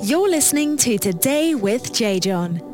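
High-voiced woman announcer over music. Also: sing-song, measured pace. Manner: polished, promotional delivery. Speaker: programme announcer. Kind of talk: scripted announcement. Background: music bed.

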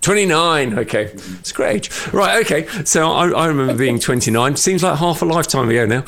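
A man's voice: fairly high pitch, animated, a little fast, a bit bright. Older male host, chatty and friendly, with stumbling repeated starts in his questions. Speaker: podcast host. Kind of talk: faith podcast interview.

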